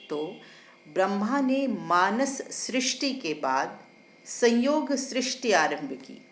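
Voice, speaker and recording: A woman voicing a learned older male judge in a story, explaining philosophy in a measured, didactic way with emphatic English phrases. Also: medium pitch. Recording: roomy echo, faint background noise.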